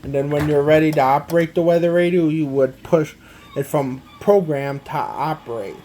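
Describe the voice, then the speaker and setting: A man gives a casual, halting, step-by-step product walkthrough, often repeating himself. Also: fairly high pitch, steady tone, dark tone, slight background noise. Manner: halting, repetitive, step-by-step explaining. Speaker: amateur gadget demonstrator.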